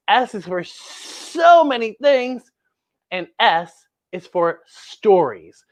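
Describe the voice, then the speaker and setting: A woman hosting a children's story time, talking and reading playfully to young kids, with exaggerated exclamations, drawn-out words and questions to the listeners. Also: medium pitch. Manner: draws out words for emphasis. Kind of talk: playful read-aloud and chat to young children.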